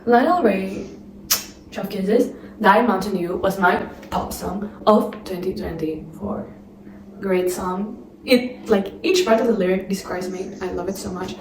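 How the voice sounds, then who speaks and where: A woman's voice: medium pitch, measured pace, a little mellow, roomy sound, distant mic, slight background noise, poor audio. A young woman vlogging, chatting and gushing, full of fillers, with a non-native accent. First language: not English. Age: young adult. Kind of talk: casual chat.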